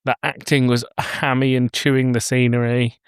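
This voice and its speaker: A man's voice: mid-range voice, flat delivery, moderate pace, clean tone, no echo, clean studio sound. A man co-hosting a casual film review podcast in a jokey, blunt, emphatic way. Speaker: podcast co-host.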